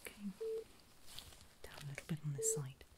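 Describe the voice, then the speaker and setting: A woman whispering in a breathy, gentle and reassuring way, unhurried, as a nurse in an ASMR medical roleplay. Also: low voice. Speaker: ASMR performer playing a surgical nurse.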